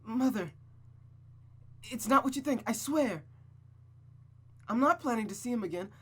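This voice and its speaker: A reader voicing a frightened teenage girl who pleads with her mother in a high, panicked voice. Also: expressive, a little slow, a bit bright, husky. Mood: desperate pleading. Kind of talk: dramatic reading of a visual novel.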